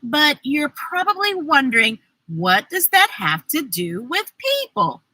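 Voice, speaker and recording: Female kids' storytime host: very bright, forceful voice, unhurried pacing, playful and exuberant delivery. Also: sits high, very animated, dry recording. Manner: exuberant, playful, sound effects and drawn-out words. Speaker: children's storybook reader and host. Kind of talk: animated read-aloud for children with chatty asides.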